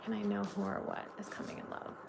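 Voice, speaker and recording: A woman's voice: mid-range voice, monotone, fast, vocal fry. Woman from Canada, tarot reader, chatty and gushing, lots of fillers, faint background hiss. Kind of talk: live tarot reading stream.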